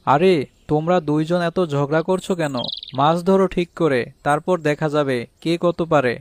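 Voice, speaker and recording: A man's voice: a little high, normal speed, natural voice. A man voicing a practical, good-humoured village fish farmer and husband in a cartoon fairy tale, explaining things step by step. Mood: encouraging. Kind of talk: animated fairy tale voice acting. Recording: clearly noisy track with birdsong.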